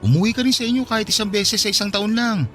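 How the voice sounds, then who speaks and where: Radio drama boyfriend: young, teasing, persistent, fast delivery, very animated intonation, music underneath. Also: male voice, sits high. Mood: coaxing. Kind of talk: Tagalog radio drama acting. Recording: music behind the voice.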